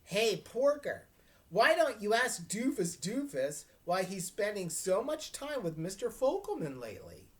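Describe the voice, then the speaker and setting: A scornful middle-school boy from a children's novel, read aloud by a woman. The delivery is slow and needling, teasing an ex-best friend. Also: mid-range voice, sing-song, voice pushed up. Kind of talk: dramatic reading of a children's novel.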